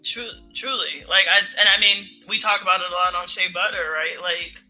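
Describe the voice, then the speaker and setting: A woman co-hosting a podcast, chatting in an animated way with laughter and frequent "right?" tags. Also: on the low side, moderate pace, faint music behind, poor audio. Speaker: podcast co-host. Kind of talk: casual podcast chat.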